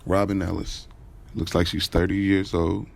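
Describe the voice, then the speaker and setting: A man voicing an aloof, blunt, sensible male acquaintance in audio drama dialogue, measured and matter-of-fact. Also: low voice, little pitch movement, vocal fry.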